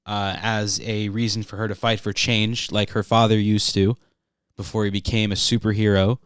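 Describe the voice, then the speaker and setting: A young man hosting his own podcast in a relaxed, rambling way, a little congested, with lots of "uh" fillers. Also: fairly low pitch, clean tone, dry recording, clean studio sound. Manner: relaxed, filler-heavy, frequent "uh". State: congested from recent illness. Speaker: podcast host. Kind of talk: casual solo podcast review.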